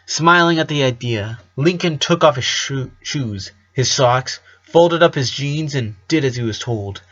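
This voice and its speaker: A man reads fiction aloud as an amateur audiobook narrator. His pitch sits in the middle of the male range, and his reading is expressive with the odd stumble. The sound is a little dull and lo-fi. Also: measured pace. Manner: expressive, with stumbles and self-corrections. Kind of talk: storybook narration with acted dialogue.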